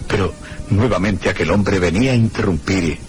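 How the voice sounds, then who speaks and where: Male actor doing troubled traveler telling a spooky radio play, castilian accent, low dramatic voice, music behind him. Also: natural voice. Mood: brooding, reflective. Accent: Castilian Spanish. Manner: literary, measured, suspenseful. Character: troubled, brooding male traveller recounting an eerie tale. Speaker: narrator and protagonist. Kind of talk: dramatic first-person radio drama narration. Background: prominent music.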